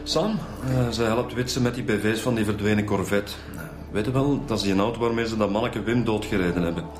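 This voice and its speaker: Guy playing flemish cop, lowish voice, earnest, bit hesitant, radio drama, faint music. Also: a little fast, natural voice. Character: male police detective, hesitant, earnest, soft-hearted.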